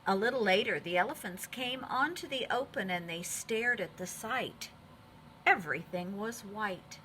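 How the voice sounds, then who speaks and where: A woman teacher reading a storybook aloud to young children in an expressive, deliberate way. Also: medium pitch, a little hiss or hum, low-quality recording.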